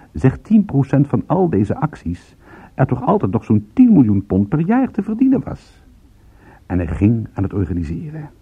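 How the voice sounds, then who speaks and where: A wry private detective in a radio play, with a very husky voice that moves freely up and down. He tells his case in a measured, dryly amused way. The recording sounds narrow and phone-like, like old radio. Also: mid-range voice, no room sound. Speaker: narrator and lead actor. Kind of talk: radio drama narration.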